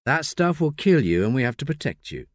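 Give me a brisk woman police detective, firm and clipped, as a man reads her. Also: mid-range voice, big pitch swings, dry recording, clean studio sound, natural voice.

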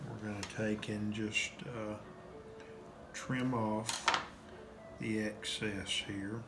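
A plain-spoken man in his fifties talks through a home cooking demo, one step at a time, in an unhurried drawl. His voice bounces around a hard-surfaced kitchen, caught on a phone camera held a few feet away. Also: on the low side, little pitch movement, loud music behind the voice. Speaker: cooking show host. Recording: roomy kitchen echo.

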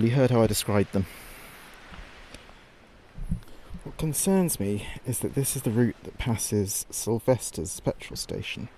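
A man acting as a radio DJ in an audio drama, reporting a disaster live in a halting, anxious, fearful way. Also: medium pitch, warm tone, slight background noise. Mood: uneasy, explaining.

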